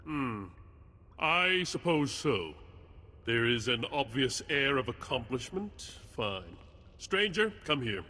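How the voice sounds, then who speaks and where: A man's voice: medium pitch, very animated, slow, pushed and bright, heavily acted. A man playing a proud, stiffly formal captain of a royal guard in a video game. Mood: haughty, grudging. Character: proud, curt male captain of a queen's guard. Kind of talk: video game cutscene acting. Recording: long hall reverb.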